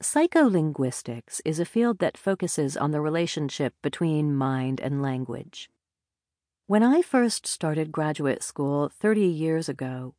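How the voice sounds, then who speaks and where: Low-voiced woman reading nonfiction. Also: darkish tone, dry recording, studio quality. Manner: measured, deliberate reading. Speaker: audiobook narrator. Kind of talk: scripted nonfiction narration.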